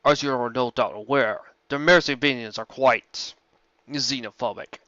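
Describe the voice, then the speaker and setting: Ancient dragon played by a man: huge, courteous, deliberate, mid-pitched storybook character, slightly muffled sound. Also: bright, forceful tone, no room sound, lightly acted. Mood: calm, explaining. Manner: formal, measured, deliberate.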